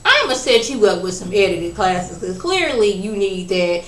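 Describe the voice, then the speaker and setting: A woman casually recapping a reality TV episode in a chatty, animated way, acting out what people said. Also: medium pitch, normal speed. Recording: roomy, distant from the mic.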